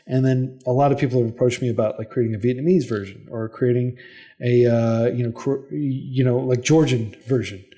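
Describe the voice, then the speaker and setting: A man of ordinary middle pitch, speaking as a podcast guest in a loose, conversational way. He explains things in long runs, stringing thoughts together with frequent "like" and "you know". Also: fairly even intonation, a little fast, warm tone. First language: English. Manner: chatty, explanatory, lots of "like" and "you know" fillers. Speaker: interview guest, head of a coding non-profit. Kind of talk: casual podcast interview.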